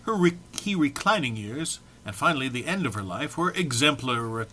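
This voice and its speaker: A man's voice: mid-range voice, sing-song, moderate pace, pushed and bright, a little hiss or hum. A man giving a dramatic comic reading of a humorous text, mock-serious in delivery with dry muttered asides. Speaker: reader.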